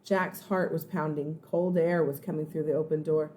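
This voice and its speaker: A woman, a first grade teacher, narrating a children's storybook in an expressive, suspenseful, dramatic way. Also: low-pitched. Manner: suspenseful, dramatic, pauses to explain words.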